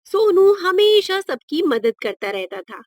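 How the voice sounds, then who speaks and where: A woman's voice: very high voice, big pitch swings, takes it slowly, a little mellow, dry recording, clean studio sound. A woman narrating a scripted moral story for children.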